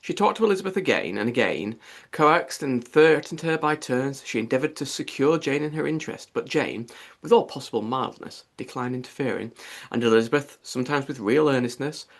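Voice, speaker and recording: A man's voice: mid-range voice, animated, fairly quick. A man reading a classic novel aloud as an audiobook narrator, in scripted literary narration.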